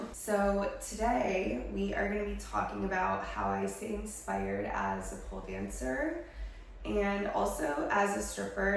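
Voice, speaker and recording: A young woman talks to her viewers in a relaxed, rambling way, full of fillers. Her voice is hoarse from a head cold and has a gravelly edge. She is recorded in a very echoey room, a little away from the mic. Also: medium pitch, recorded from a distance, slight background noise. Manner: chatty, lots of fillers. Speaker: vlogger. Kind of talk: casual chat to camera. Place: her home studio.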